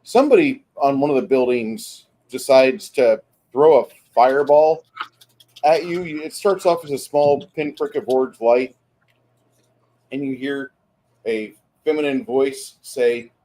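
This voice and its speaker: Halting male game master. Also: medium pitch, mellow. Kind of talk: improvised tabletop game narration.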